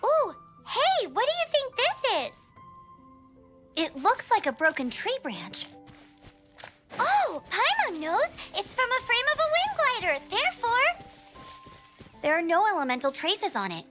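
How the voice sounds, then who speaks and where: A woman voicing a small, excitable, childlike fairy companion in a video game, chirpy, curious and full of exclamations. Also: very high voice, unhurried, long pauses, full character voice. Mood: eager, inquisitive. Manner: refers to self in third person, exclaims. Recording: music behind the voice.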